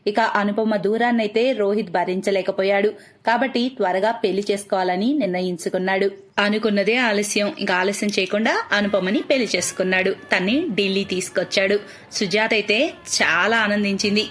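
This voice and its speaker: A woman's voice: medium pitch, steady tone, a little fast. A woman reading scripted narration for a cartoon moral story.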